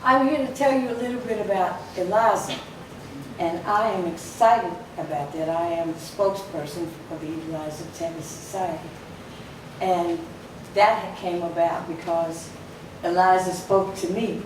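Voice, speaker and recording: A woman with a low voice speaks at a podium in a ceremony, her voice a little weaker than usual. She tells her story emphatically, with a few fillers. She is picked up from a distance in a heavily echoing hall, with noise underneath. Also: steady tone, moderate pace. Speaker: society spokesperson. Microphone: podium microphone. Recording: echoey hall from far off, noisy.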